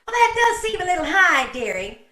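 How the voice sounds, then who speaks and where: Woman acting a sensible sarcastic wife, old radio comedy, lively swooping delivery, coaxing, roomy distant sound. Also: very high-pitched, brisk, heavily acted. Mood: teasing. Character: sensible, teasing, affectionate wife of a blustery husband. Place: live video call performance. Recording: roomy, distant video-call audio.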